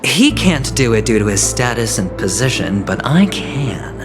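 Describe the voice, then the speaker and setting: A man voicing a smooth, scheming young male gambler in a game, playful and calculating. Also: mid-range voice, very animated, a little slow. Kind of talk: game voice acting. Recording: game audio with music behind.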